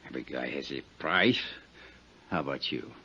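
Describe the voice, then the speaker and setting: Very deep crooked investigator, old radio. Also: male voice, expressive, normal speed, vocal fry, sharp tone, exaggerated voice. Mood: sly, wheedling. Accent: American. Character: crooked insurance investigator, cornered, sly, falsely folksy. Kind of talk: 1950s radio drama acting. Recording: dull, noisy 1950s radio recording.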